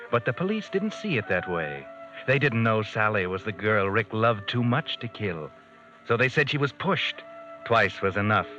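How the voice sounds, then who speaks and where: Sinister sardonic old-time radio mystery narrator, swoopy delivery, dull vintage sound w/ music behind. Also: male voice, lowish voice.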